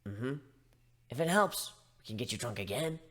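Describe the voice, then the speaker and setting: A man's voice: medium pitch, animated, normal speed, pushed and bright, voice pushed down. A man voicing a frank, persuasive female griffin in a dramatic story reading, blunt and coaxing.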